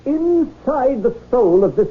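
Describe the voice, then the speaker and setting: High-voiced pompous orator, tinny radio. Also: male voice, big pitch swings, slow, full character voice. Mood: grandly reverent. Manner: pompous, declamatory. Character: pompous, sentimental whale-loving orator. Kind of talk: 1970s radio drama acting. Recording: tinny, band-limited 1970s radio recording.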